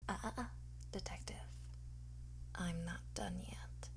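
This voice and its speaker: Woman playing a slow, teasing, smug lady thief. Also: mid-range voice, fairly bright tone, a little hiss or hum. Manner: flirtatious, theatrical, smug. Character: teasing, boastful female master thief under interrogation. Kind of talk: ASMR roleplay, breathy whisper and soft speech.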